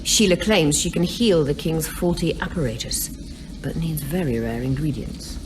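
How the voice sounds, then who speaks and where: An actress playing a cool, scheming sorceress in a fantasy video game, measured and dryly sardonic. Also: female voice, background noise, natural voice. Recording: distant voice under loud rumbling ambience.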